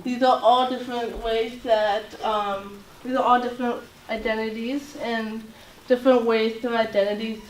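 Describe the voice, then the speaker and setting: A woman from Latvia giving an informal talk to a group as a self-advocate, speaking haltingly with many fillers and restarts. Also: on the high side, flat delivery, a little hiss or hum. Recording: roomy echo, voice far from mic, faint ambience.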